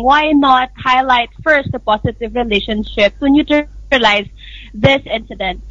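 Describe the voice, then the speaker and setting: A woman's voice: on the high side, a bit bright, no echo. A young woman from the Philippines with a Filipino accent, explaining things as an interview guest. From Manila, Philippines. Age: young adult. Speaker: guest, youth activist. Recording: dull, lo-fi remote connection.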